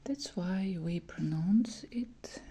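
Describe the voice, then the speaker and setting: Female teacher, soft breathy whisper, very slow hesitant delivery with fillers, ASMR lesson. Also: on the low side. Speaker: Russian language teacher.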